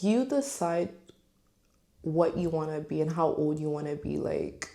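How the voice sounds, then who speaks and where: A woman's voice: lowish voice, warm tone. A woman in her twenties talking candidly to camera on her own channel, chatty and full of fillers. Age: 20s. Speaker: vlogger. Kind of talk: casual talk to camera.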